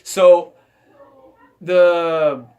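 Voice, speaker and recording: A young man hosting a casual podcast chat, earnest and halting, repeating words and filling pauses. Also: fairly high pitch, flat delivery, darkish tone. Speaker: podcast host.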